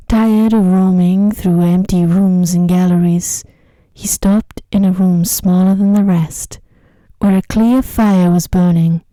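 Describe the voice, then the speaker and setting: A woman reads a sleep story in a hushed, measured ASMR style. Her voice is on the low side and extremely dark and soft in tone. The sound is close and dry, with hardly any room around it. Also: no echo, rough recording. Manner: hushed, gentle, measured reading. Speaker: narrator of sleep stories.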